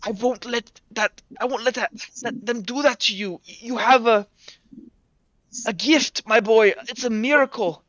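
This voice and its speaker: A man voicing a grave, kindly doctor in a tabletop game, speaking with halting, earnest urgency. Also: very high voice, fairly bright tone, dry recording, dull audio, pitched above the performer's own voice. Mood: urgent, grave. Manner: halting, earnest, repeats himself.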